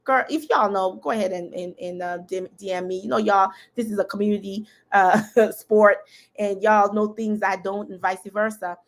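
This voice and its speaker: A woman's voice: mid-range voice, fairly even intonation, moderate pace. A woman hosting a live discussion, talking casually and emphatically, laughing often and addressing her audience directly. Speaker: host.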